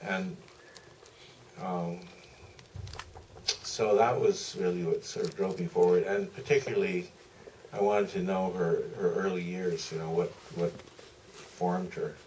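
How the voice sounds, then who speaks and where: Male panel guest with a very low voice, halting anecdotal delivery, distant pickup with faint noise. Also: fairly even intonation, darkish tone. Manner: halting, deliberate, frequent pauses mid-sentence. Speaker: film director, panel guest. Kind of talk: anecdotal Q&A answer. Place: theater stage at a public Q&A.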